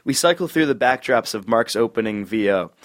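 A man's voice: mid-range voice, animated, fairly quick. Guy reading screenplay directions at a casual table read, medium voice, short plain phrases. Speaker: reader of stage directions.

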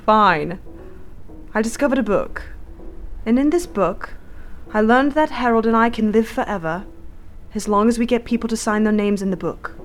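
Woman acting a taunting high-voiced witch, music behind. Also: normal speed, pauses often, lightly acted. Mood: smug, confessing. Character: gleeful murderous witch, centuries old, coldly taunting. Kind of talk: radio theatre acting.

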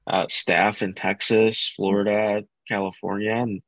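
Man, interview guest, bilingual, flat monotone, dry close sound, earnest. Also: fairly low pitch, no room sound. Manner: earnest, halting, with repeated restarts. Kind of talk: casual interview.

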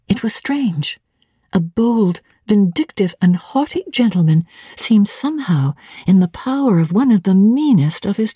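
A woman giving a dramatic, deliberate and expressive reading of a literary novel as an audiobook narrator. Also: fairly low pitch, breathy edge, no room sound, clean studio sound.

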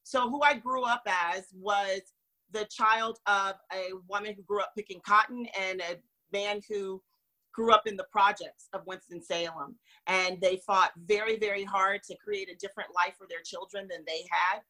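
A woman from North Carolina, raised in North Florida, telling her life story as an interview guest in an earnest, expressive way, laughing easily. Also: mid-range voice, poor audio. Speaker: guest, magazine founder.

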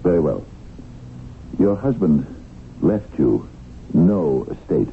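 Through a tinny, noisy old radio broadcast comes a flustered lawyer, a man with a very low, creaky voice. He stammers and picks formal words haltingly, in 1970s radio drama style. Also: big pitch swings, full character voice. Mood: hesitant, apologetic. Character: hesitant, flustered small-time lawyer, married man.

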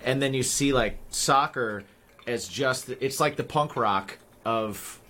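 A man's voice: medium pitch, slow, bright, forceful tone. A man who grew up in the American Midwest, co-hosting a podcast in laid-back, casual chat full of fillers. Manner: laid-back, many fillers, laughs easily. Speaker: podcast co-host.

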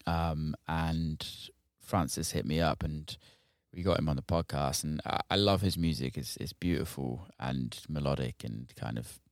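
A man's voice: very deep voice, measured pace, vocal fry, no echo, studio quality. A man hosting a podcast, chatting casually with lots of fillers. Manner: friendly, filler-heavy, says "man" and "yeah" a lot. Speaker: podcast host. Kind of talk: casual interview chat.

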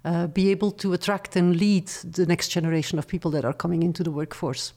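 Woman, middle-aged, lowish voice, measured storytelling. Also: steady tone, warm tone. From Belgium. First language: not English. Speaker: guest, former studio executive. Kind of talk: interview conversation.

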